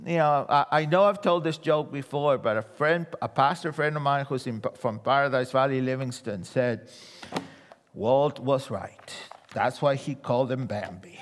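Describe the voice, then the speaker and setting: A man from Argentina with an Argentine Spanish accent, preaching a sermon and telling humorous stories, dropping his voice low for the punchlines. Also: moderate pace, big-room sound. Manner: animated storyteller, drops voice low for punchlines. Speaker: guest preacher.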